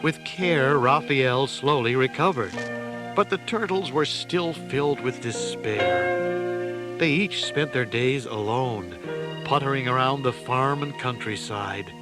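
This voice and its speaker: A man narrating a children's adventure story in a deliberate, theatrical style. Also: medium pitch. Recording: loud music behind the voice.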